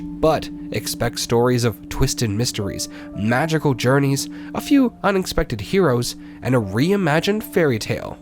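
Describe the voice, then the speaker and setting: A man, the artistic director of a theater company, reading upbeat promotional announcements. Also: medium pitch.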